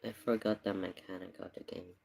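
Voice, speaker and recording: A live streamer chatting casually in halting, murmured asides. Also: sits low, fairly even intonation, creaky, a little mellow, a little hiss or hum. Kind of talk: casual livestream chat.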